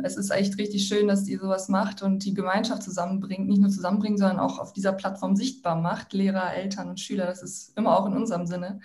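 A woman's voice: mid-range voice, darkish tone. Young woman from Iran, video-call guest. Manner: friendly, with frequent fillers and restarts. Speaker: guest speaker, social entrepreneur. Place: home room, indoors. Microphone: laptop mic on video call.

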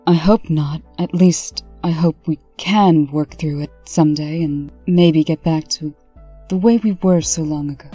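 A woman voicing a reserved, authoritative fairy-tale princess in a comic dub, with measured, formal delivery. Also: sits low, warm tone, husky, lo-fi sound, faint music behind. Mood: tentative.

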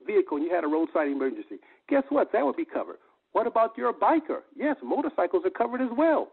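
The high, raspy-edged male voice you'd hear dialing into an evening business opportunity call, emphatically selling point after point. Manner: emphatic, repetitive, rhetorical questions. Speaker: sales presenter on a conference call. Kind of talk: sales pitch explaining benefits. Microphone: telephone. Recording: muffled phone conference line, lo-fi.

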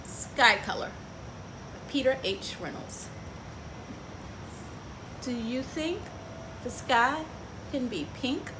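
Expressive high-pitched woman reading to children. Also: slow, long pauses. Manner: expressive, engaging children with frequent questions. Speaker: story time host. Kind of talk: picture book read-aloud for children with asides and questions. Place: outdoors on a porch by trees. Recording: clear outdoor ambient noise.

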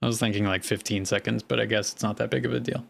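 A young man streaming a game from a small room on a headset, mostly monotone and self-deprecating, swearing and answering chat. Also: lowish voice, fast talker, vocal fry, a little hiss or hum.

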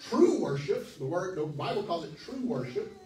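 A man in his forties preaching a sermon as pastor, emphatic and animated, speaking into a handheld mic in a church hall. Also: high-pitched, moderate pace. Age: 40s. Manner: animated, emphatic, pacing the stage. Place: church hall, medium-sized, indoors. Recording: echoey hall, from far off.

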